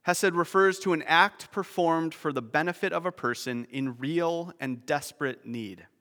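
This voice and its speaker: A man's voice: on the high side, normal speed, pushed and bright, long reverb tail. A man preaching a sermon, explaining a word's meaning with nerdy, self-deprecating asides. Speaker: pastor.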